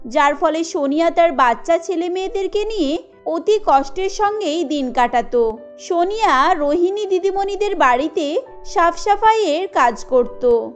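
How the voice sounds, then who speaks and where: High-voiced woman narrating a cartoon. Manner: expressive storybook reading. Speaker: narrator and voice actor. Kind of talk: scripted cartoon storytelling. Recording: music bed underneath.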